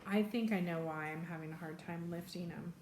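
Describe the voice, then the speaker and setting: A woman's voice: fairly low pitch, brisk, darkish tone. A woman giving product tips in casual, explanatory chat, as a stylist would to friends. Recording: echoey home room.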